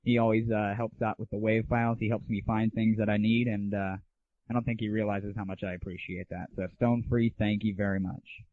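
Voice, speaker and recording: A man's voice: lowish voice, level tone, a little fast, dry recording. A man hosting a gaming audio show, giving casual, slangy, opinionated and irreverent reviews. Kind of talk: casual solo rant and game review. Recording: narrow, phone-like 1990s internet audio.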